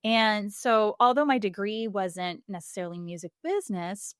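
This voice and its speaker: A woman's voice: medium pitch, normal speed, smooth, no echo, clean studio sound. A woman in her forties from Puerto Rico, a singer and voice coach, speaking candidly and reflectively in a podcast interview, with frequent fillers and laughs. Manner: earnest, reflective, laughs often, many fillers.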